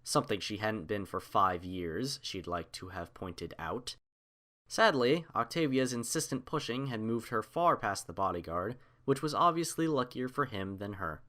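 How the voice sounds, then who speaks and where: A man's voice: fairly low pitch, normal speed, bright, forceful tone. A man reading scripted fiction aloud as the narrator of a story.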